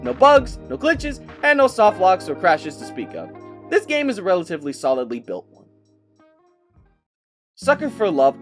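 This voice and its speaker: A man giving a casual, scripted game review with an enthusiastic, playful and expressive delivery. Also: high voice.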